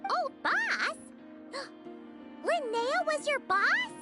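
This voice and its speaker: Tiny, very high-pitched excitable fairy companion. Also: female voice, drawn-out words, over music, heavily acted. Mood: surprised. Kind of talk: video game character dialogue.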